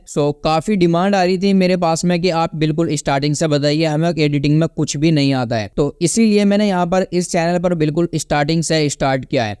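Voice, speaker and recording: A man's voice: fairly high pitch, a little mellow, smooth, no room sound, clean studio sound. A man hosting a casual step-by-step tutorial, instructing and often checking in with the listener. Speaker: tutorial host.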